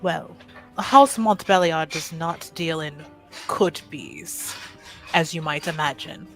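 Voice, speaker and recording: A woman's voice: low voice, slow pace, soft background music. A woman playing a haughty, exacting errand-runner for a noble house in a tabletop game, poised and condescending. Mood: haughty, cool. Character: haughty, exacting noblewoman's envoy shopping for perfume.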